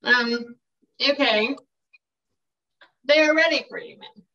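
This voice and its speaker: A woman's voice: fairly high pitch, animated, slow pace, vocal fry, bright, forceful tone, exaggerated voice. A woman playing a polite, dutiful assistant. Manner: deferential, polite. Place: room with wooden door. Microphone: webcam. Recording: distant, dull webcam sound.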